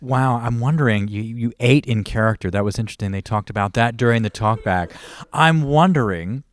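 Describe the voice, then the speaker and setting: A man's voice: medium pitch, brisk, dry recording. A man hosting an interview, asking questions casually. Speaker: interview host.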